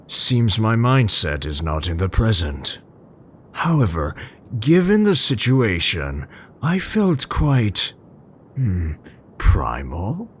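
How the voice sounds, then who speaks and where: A man performs as a gentle, brooding male scientist in an intimate ASMR roleplay. His speech is very slow and formal, full of hesitant pauses, and it rises and falls expressively. A faint low rumble sits behind the voice. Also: heavily acted. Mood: formal, awkward. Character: tender, scholarly Dutch male scientist, brooding but gentle.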